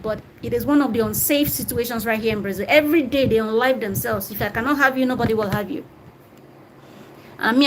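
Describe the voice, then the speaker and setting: Young Nigerian woman, bright higher voice, conversational host of a live Q&A; noisy ambience behind her. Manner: chatty, reads viewer comments aloud and answers directly. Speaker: livestream host answering viewer questions. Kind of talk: casual live Q&A.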